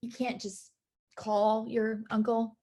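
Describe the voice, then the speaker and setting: Woman playing wry young assistant, higher-pitched. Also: little pitch movement, slow pace, warm tone. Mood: dry. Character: wry, guarded young female assistant with a dream. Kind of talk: acting in a table read.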